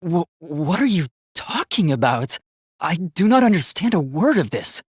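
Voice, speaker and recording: A man's voice: on the high side, very animated, normal speed, breathy edge, no echo, clean studio sound. A man voicing an earnest, polite young robot student in a video game, with formal, precise, logical speech that gets easily flustered. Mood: indignant.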